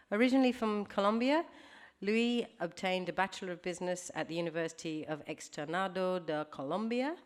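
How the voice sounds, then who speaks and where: A woman with a notably low voice introduces the next speaker at a conference. She reads formally from prepared notes. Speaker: event presenter introducing a speaker. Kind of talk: read introduction. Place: conference hall.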